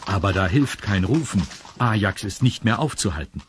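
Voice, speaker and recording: A man's voice: fairly low pitch, animated, moderate pace, very noisy. A man narrating a children's audio drama in a scripted storytelling style. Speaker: narrator of a children's radio play.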